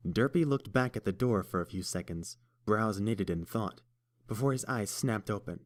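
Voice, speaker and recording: Man narrating a fan audiobook. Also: fairly low pitch. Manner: measured storytelling. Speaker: audiobook reader.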